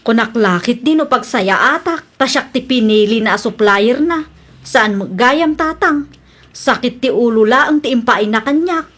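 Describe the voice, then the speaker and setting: Actress as female shopkeeper, high voice, slow delivery, Ilocano Filipino accent, plaintive, aggrieved, radio drama. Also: animated, fairly bright tone. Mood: resentful complaint. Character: aggrieved woman shopkeeper chasing debts, complaining to her father.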